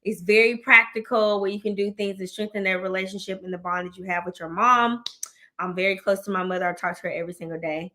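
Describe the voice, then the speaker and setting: A woman in her thirties hosting a casual chat show, excitable and chatty, laughing often and using slang. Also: medium pitch, fast. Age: 30s. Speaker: host.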